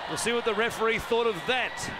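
A man calling a rugby league match live from a stadium commentary box, rising in excitement on big plays. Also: very high-pitched, a little fast. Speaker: play-by-play commentator. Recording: broadcast with loud stadium noise.

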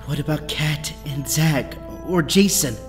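A man's voice: fairly high pitch, unhurried, close to an everyday voice. An actor playing a shell-shocked young male superhero in an audio drama. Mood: worried. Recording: long echoing reverb, music.

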